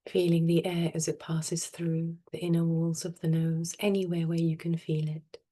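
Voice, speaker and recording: Soft low voiced lady doing a guided meditation, very even tone, slow pauses. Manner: soft, soothing, unhurried with long pauses. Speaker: meditation teacher.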